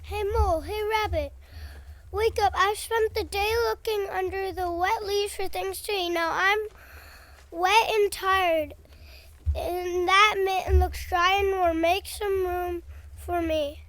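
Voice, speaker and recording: A woman plays a small storybook hedgehog with a very high, raised voice. The animal is worn out yet pushy, speaking up loudly and taking its time. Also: steady tone, slow, no echo, full character voice. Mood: insistent. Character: weary hedgehog demanding shelter, loud and pushy.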